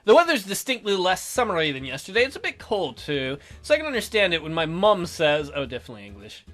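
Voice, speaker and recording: A man's voice: sits high, huge pitch swings, fast talker, fairly bright tone, faint music behind. A man reading a visual novel aloud as a let's play host, in a theatrical, jokey way with his own ad-libbed commentary.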